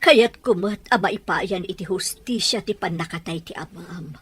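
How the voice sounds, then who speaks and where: A woman's voice: on the low side, normal speed, husky. A woman playing an anxious mother of a grown son in an Ilocano audio drama, pleading emotionally with an Ilocano Filipino accent. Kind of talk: Ilocano radio-style audio drama acting.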